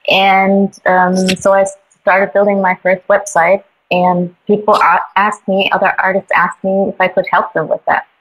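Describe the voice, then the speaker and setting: A woman's voice: mid-range voice, little pitch movement, normal speed, poor audio. A young woman from Colombia, raised in Germany, coaching artists on business, speaking thoughtfully with frequent hesitations. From born in Colombia, raised in Germany. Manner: thoughtful, hesitant with many ums. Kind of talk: casual interview.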